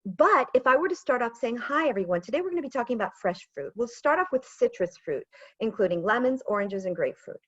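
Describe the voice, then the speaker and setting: A woman in her thirties with an American accent, coaching a webinar over a video call, chatty and animated with self-deprecating asides. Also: on the high side, fast talker, no echo. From Israel. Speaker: pitch coach. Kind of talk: webinar presentation. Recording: dull lo-fi video call audio.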